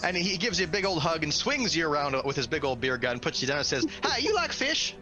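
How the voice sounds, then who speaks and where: A man's voice: fairly high pitch, quick pace, sharp tone, faint music behind. A man narrating a tabletop role-playing game as game master.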